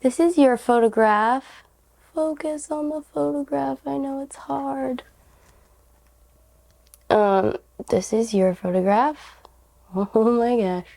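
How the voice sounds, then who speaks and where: A young woman with a raspy, hoarse voice from a cold leads a casual tarot reading. Her tone is mellow and warm. She rambles in a giggly way, filling her pauses with "like" and "um". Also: fairly high pitch. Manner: rambling, giggly, many fillers like "like" and "um". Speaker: tarot reader, channel host.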